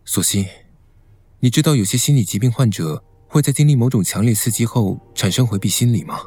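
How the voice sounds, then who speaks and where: An actor playing a calm, reserved, knowledgeable young man with a measured manner in a Mandarin audio romance drama. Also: medium pitch, slightly rough, soft background music, close to an everyday voice. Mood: serious, explaining.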